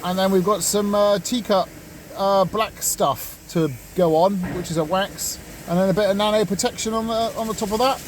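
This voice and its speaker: A man's voice: sits high, fairly even intonation, moderate pace. A man chatting casually as he vlogs about his car, with some background noise from cars and surroundings. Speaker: car vlogger. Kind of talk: casual vlog chat.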